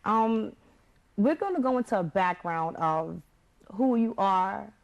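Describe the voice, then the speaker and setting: A woman hosting a talk show, welcoming her guests and asking them about their backgrounds in a relaxed, conversational way. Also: medium pitch, slow, creaky, muffled recording.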